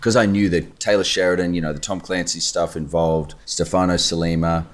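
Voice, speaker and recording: A man's voice: low voice, steady tone. A man from Australia, an actor, chatting casually and eagerly telling stories about his work. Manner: eager storyteller, lists credits quickly. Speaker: actor guest. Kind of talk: casual chat.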